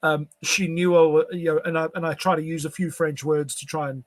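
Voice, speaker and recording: A man from South Africa, whose first language is Afrikaans, chatting on a podcast in a fairly high voice. He trips over words and leans on fillers and restarts as he talks. The sound is close and dry. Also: fairly even intonation, no room sound. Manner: halting, many fillers and restarts. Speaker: podcast guest. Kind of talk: casual conversation.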